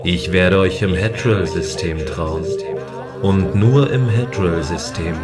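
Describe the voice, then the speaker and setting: Man acting a stern space guardian, really deep voice, commanding, sci-fi radio play with music under it. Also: slow pace. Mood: solemn, hard. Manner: firm, commanding. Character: stern male space guardian enforcing a planet's quarantine. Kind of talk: science fiction audio drama.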